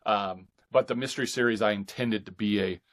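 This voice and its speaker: Male author guest, lower register, conversational interview answers, halting and reflective delivery. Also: fairly bright tone.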